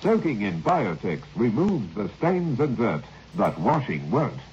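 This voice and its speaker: A muffled old radio recording with some noise frames a male commercial announcer. He pitches in emphatic, clipped phrases, his intonation rising and falling in a lively way. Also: unhurried. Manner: emphatic, clipped list-like selling. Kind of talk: vintage radio advertising read.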